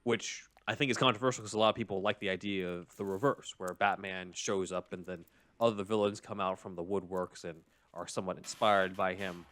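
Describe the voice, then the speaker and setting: A man's voice: low voice, steady tone, brisk, a bit bright. A man hosting a podcast, explaining a film story in casual chat with an analytical, enthusiastic fan's manner and casual asides. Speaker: podcast host.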